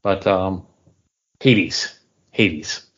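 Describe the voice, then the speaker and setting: A man's voice: deep voice, extremely slow, fairly bright tone. A man co-hosting a comics podcast in casual chat, trailing off and restarting when he reaches for names. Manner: chatty, trails off and restarts while recalling names. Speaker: podcast co-host. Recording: dull lo-fi podcast audio.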